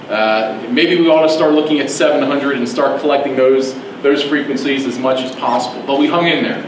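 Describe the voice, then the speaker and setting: A man speaking as a county commissioner at a press conference, halting with many fillers. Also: a little high, recorded from a distance. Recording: echoey room, mic from afar, noisy.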